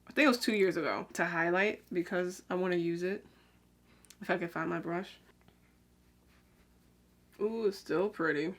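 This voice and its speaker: A young woman chatting casually while she does her makeup, rambling with lots of "like" and "um" and drifting quieter as she works. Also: medium pitch. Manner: talks while applying makeup, drifts quieter then brighter. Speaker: beauty vlogger. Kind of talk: casual get-ready-with-me makeup chat.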